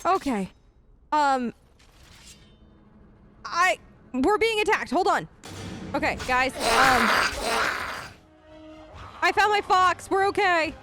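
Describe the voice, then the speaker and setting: A woman's voice: sits high, sing-song, takes it slowly, long pauses, loud music behind the voice. A woman streamer giving casual, playful in-character commentary on a fantasy game, chatting to companions and pets. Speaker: video game streamer role-playing her character.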